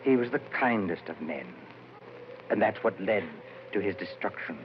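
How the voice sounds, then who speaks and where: An actor playing an eerie, sorrowful dead madman poet with a slow, portentous, haunting delivery in old-time radio horror style. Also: lowish voice, animated, natural voice. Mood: solemn, sorrowful. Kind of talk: 1940s radio horror acting. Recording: muffled, hissy old radio recording.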